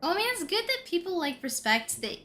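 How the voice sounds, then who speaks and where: A woman's voice: very high voice, sing-song, a little fast, sharp tone. A woman live streamer chatting casually and thinking aloud as she comments on a game.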